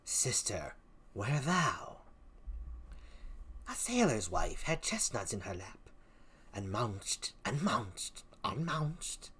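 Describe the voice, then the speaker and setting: Eerie weird sisters , put on by a solo reader, chanting their curses slowly with wild leaps in pitch and breathy, husky whispers. The storybook witches sound sly and gleeful. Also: very animated, fairly bright tone, heavily acted. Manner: sing-song chanting, dropping to whispers. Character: cackling weird sister witches plotting spells.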